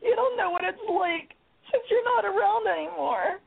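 A woman's voice: very high voice, lively intonation, moderate pace, vocal fry, exaggerated voice. A woman acting a despairing young woman who is crying, in a live radio play. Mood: distraught. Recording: tinny phone-line radio broadcast.

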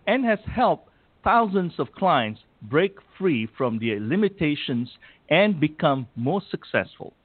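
A man hosting a radio talk show, introducing a guest and interviewing him in a formal, measured way. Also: fairly high pitch, dry recording. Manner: formal, warmly measured delivery. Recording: tinny phone line.

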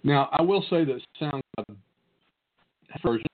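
The audio is narrow and phone-like. A man with a Southern US accent talks in a casual, conversational way as a podcast co-host. His pitch sits in an ordinary male middle range. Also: animated, moderate pace. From Southern United States. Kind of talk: casual tech tips chat.

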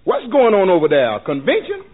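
An actor playing a shrewd, wary male pawnbroker with a husky voice, in 1940s radio comedy style. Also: high voice, huge pitch swings, fairly quick. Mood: brisk, puzzled. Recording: narrow, tinny vintage radio recording.

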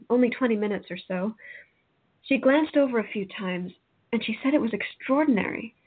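A woman's voice: a little high, breathy edge. An actress playing an earnest, bewildered young woman in a radio play, speaking in an open, questioning way. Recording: thin phone-like audio.

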